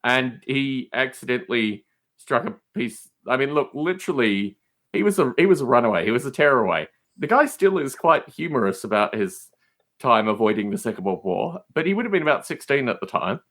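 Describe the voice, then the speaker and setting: Animated, rambling male storyteller. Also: medium pitch, a little fast. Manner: rambling, jokey, digressive. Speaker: podcast guest. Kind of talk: casual storytelling chat.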